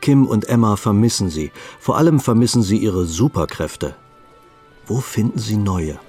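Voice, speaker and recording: A man's voice: on the low side, expressive, moderate pace, darkish tone, faint music behind, lightly acted. A man reading scripted story narration for a German radio play.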